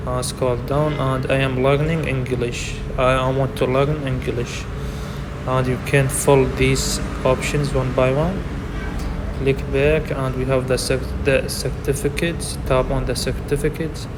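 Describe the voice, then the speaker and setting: A man whose first language is Arabic walks through an app, pausing often on fillers and simple phrasing. His pitch stays flat and even. The room has a long, echoey tail and a clear hum of air conditioning behind him. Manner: halting, with frequent fillers and simple non-native grammar. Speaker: app tutorial presenter. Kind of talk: screen walkthrough narration.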